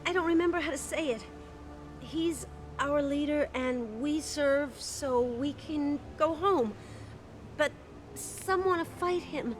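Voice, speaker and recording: A frightened, muddled female castaway in a sci-fi game. Her high voice is halting and searching for words, with prominent music behind it. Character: confused, fearful female castaway who devoutly serves her leader.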